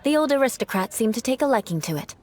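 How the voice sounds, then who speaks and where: A woman's voice: on the high side, lively intonation, quick pace, a little mellow. A woman playing a haughty young aristocratic knight in an anime-style video game, formal and coolly mock-threatening, with a caring streak. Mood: dry. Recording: game music behind the voice.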